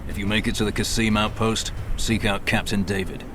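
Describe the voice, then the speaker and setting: A man's voice: on the low side, flat delivery, a little fast, noisy background, close to an everyday voice. An actor voicing a plain-spoken male gate guard giving directions, in game audio with ambience behind. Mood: instructive. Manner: plain, instructive.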